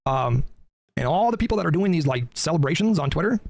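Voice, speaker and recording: A man's voice: on the high side, lively intonation, fast talker, gravelly, darkish tone, dry recording. A man giving earnest political commentary with many fillers, worn out and losing his voice after talking all day.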